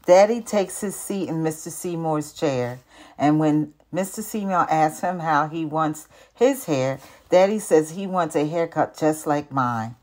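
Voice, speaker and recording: An older woman with a low-pitched voice reads a picture book aloud for children. Her delivery is expressive and deliberate, in the style of a teacher telling a story.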